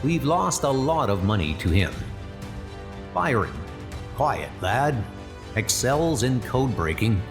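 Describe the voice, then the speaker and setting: An actor voicing an earnest, dutiful young secret service trainee, narrating his letters in a measured, formal way. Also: mid-range voice. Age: young adult. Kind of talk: letter read as narration in a comic dub.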